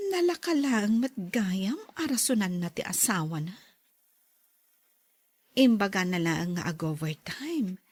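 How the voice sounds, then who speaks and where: A woman's voice: fairly high pitch, breathy edge, no echo, exaggerated voice. A woman playing an emotional female character in an Ilocano family audio drama.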